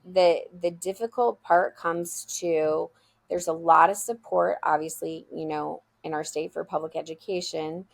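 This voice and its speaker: A school founder being interviewed, she speaks with earnest emphasis and a rather low voice. Her tone carries a gravelly, creaky texture, and she often restarts a phrase before pushing on. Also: fairly even intonation, measured pace. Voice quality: loud voice. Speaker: school founder, podcast guest. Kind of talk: interview answers.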